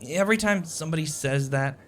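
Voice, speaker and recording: A man's voice: on the high side, fast, a little hiss or hum, close to an everyday voice. A man acting a shy, anxious, sweet young stranger in an audio roleplay, rambling with hesitant stammers and self-deprecating apologies. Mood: sweet, excited. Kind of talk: audio roleplay, intimate one-sided conversation. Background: coffee shop ambience.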